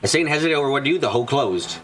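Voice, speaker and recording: A man reading a visual novel story aloud as a streamer, in an expressive storytelling style. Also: medium pitch, rapid-fire, faint music behind. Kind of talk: expressive read-aloud narration with character voices.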